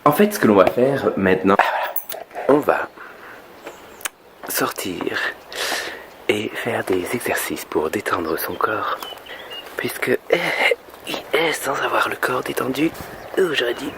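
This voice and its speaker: A man from Canada putting on a European French accent with some Québécois turns, speaking as a singer and vocal coach in a casual, humorous vlog, mock-earnest and halting as he drifts into asides. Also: medium pitch, animated, noisy background. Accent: French, deliberately European French with some Québécois turns.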